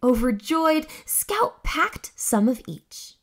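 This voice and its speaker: Animated woman reading a children's storybook. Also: sits high. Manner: expressive, exclamatory, deliberate phrasing. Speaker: children's read-aloud host.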